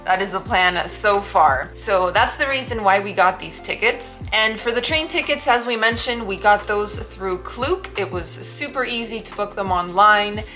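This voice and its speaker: Young female travel vlogger, crisp bright tone, chatty explainer, hotel-room sit-down. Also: medium pitch, lively intonation, normal speed, hardly any pauses, soft background music. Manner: chatty, informative, friendly. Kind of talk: explanatory vlog talk.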